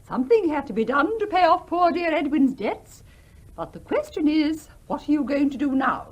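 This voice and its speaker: High, swooping, bossy English aunt. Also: female voice, big pitch swings, moderate pace, muffled recording. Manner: insistent, sugary condescension. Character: bossy, patronising, condescendingly sympathetic English aunt. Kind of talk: radio play acting.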